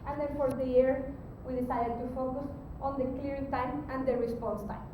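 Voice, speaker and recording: High-voiced woman presenting in an echoey room. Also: fairly even intonation, moderate pace, muffled recording. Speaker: conference presenter. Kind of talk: explanatory technical presentation. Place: conference room, recorded from a distance. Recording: very echoey, distant mic, rumbling background noise.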